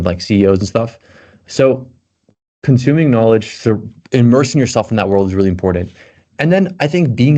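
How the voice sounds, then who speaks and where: Man, American accent, deep-ish voice, casual podcast guest, lots of filler words. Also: darkish tone. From Belgium. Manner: loose and eager, heavy on fillers like "like". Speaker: guest, tech content creator.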